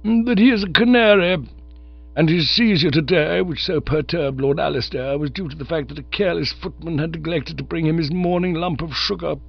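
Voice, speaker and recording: A man voicing a pompous, indignant elderly gentleman who speaks in stiff, formal sentences in an audiobook. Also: a little high, fairly quick, vocal fry, husky, highs cut off, pitched above the performer's own voice. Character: pompous elderly male nerve specialist.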